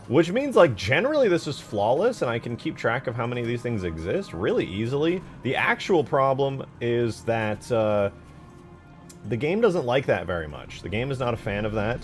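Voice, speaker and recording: Guy livestreaming game mods, super casual n slangy, voice bounces around a lot, bit of music behind him. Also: medium pitch. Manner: informal, slangy, playful. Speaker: live streamer and game modder. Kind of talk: casual livestream commentary showing game modding.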